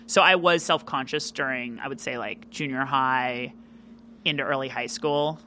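A woman chatting casually as a podcast guest, with a loud, animated delivery full of fillers. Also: rumbling low, normal speed, slight background noise. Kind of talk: casual interview chat.

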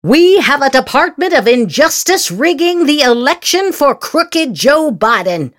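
A woman giving a theatrical, sarcastic dramatic reading of political posts as a podcast host, with exaggerated emphasis and drawn-out words. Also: sits high, very animated, fairly bright tone, no room sound, studio quality.

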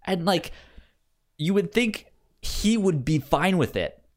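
A man co-hosting a podcast in casual chat, halting and stammering over his words as he talks. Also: high voice, lively intonation. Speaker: podcast co-host.

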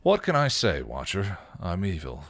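A sarcastic, world-weary punk vampire, full of slang, as a man reading fan fiction does the voice. The voice sits very low and sounds dry and close. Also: huge pitch swings, normal speed, fairly bright tone, husky, no room sound, heavily acted. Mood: teasing. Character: sardonic grieving male punk vampire.

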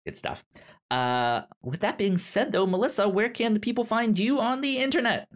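A man's voice: high-pitched, expressive, moderate pace. A man hosting a podcast in casual conversation, often stuttering and repeating sounds and syllables as he talks. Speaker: podcast host.

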